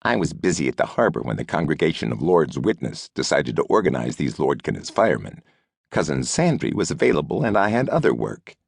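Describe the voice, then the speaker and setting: A man's voice: very deep voice, big pitch swings, fairly quick, creaky, husky, no echo, clean studio sound, exaggerated voice. A man voicing a proud, envious lord in an audiobook, grudging and skeptical in manner. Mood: resentful. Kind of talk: audiobook character dialogue.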